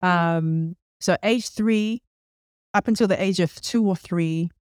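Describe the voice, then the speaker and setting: A woman raised in London with a London English accent, a podcast host telling her story as a guest in a casual interview, laughing often and pausing with fillers. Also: lowish voice, no room sound, studio quality. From United Kingdom.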